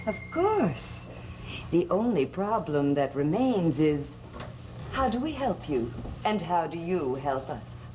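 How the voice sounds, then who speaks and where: A woman's voice: lowish voice, big pitch swings, slow pace, noisy background. An actress playing a scheming, silkily motherly middle-aged witch in a gothic soap opera, coaxing and theatrical. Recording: distant old TV audio under loud music.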